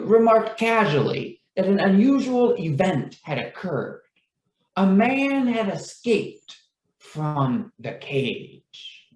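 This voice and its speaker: Female story narrator: unhurried, lively pitch swings, measured drama, roomy sound with faint music.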